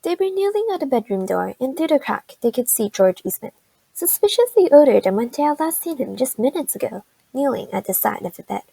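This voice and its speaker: A woman's voice: sits high, sing-song, brisk, creaky, mellow, husky, no room sound, low-quality recording. A woman narrating a radio drama in a scripted storytelling style.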